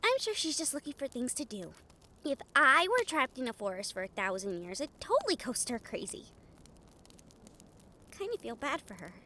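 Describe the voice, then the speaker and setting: A woman playing an excitable, kind-hearted young female harpy in an audio roleplay, with an eager, girlish, bubbly way of talking. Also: high-pitched, moderate pace, slight background noise, exaggerated voice. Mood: subdued, sympathetic.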